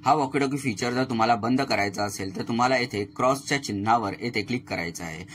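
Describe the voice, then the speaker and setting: A man's voice: medium pitch, quick pace, fairly bright tone. A man narrates a step-by-step tech tutorial as a channel host, explaining things to viewers as friends. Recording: clearly noisy voice-over recording.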